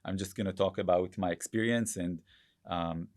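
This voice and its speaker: Deep-voiced man with an Israeli accent. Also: steady tone, normal speed, darkish tone. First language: Hebrew. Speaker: guest, growth hacker. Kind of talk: podcast interview.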